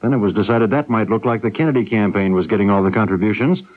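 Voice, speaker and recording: A man's voice: lowish voice, fast. An American man anchoring radio news from a script. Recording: lo-fi 1970s radio broadcast.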